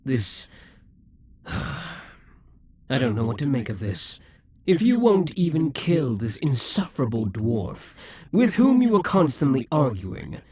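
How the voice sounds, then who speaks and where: A man voicing a possessive, scheming male demon king in a scripted audio roleplay, speaking in slow, breathy half-whispers. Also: mid-range voice, a little mellow, dry recording, heavily acted. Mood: frustrated, incredulous.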